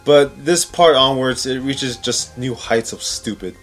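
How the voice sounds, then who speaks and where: A man's voice: mid-range voice, measured pace. A man giving informal, sarcastic countdown commentary on video game scenes, often incredulous and swearing. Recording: music playing behind the voice. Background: background music.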